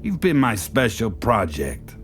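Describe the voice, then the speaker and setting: A smug crime boss from an audio drama. He speaks slowly in a low voice that swings widely as he taunts. The menace is drawn out and contemptuous, with music underneath. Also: huge pitch swings. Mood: smug, mocking.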